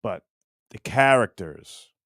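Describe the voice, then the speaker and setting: Slow, swinging-pitch man livestreaming casually. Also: animated, a bit bright, dry recording, studio quality. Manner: crude, jokey, profane. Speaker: livestream host. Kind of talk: rambling casual livestream chat with viewers.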